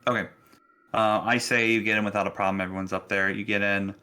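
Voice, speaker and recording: A man's voice: lowish voice, little pitch movement, fast, pushed and bright, clean tone. A man narrating a tabletop roleplaying game as game master in a casual, conversational way.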